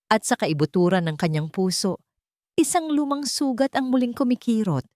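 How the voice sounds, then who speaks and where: A woman narrator tells a dramatic story in a scripted style. Her voice sits a little low and moves widely in pitch. The recording is close and dry. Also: darkish tone, no echo.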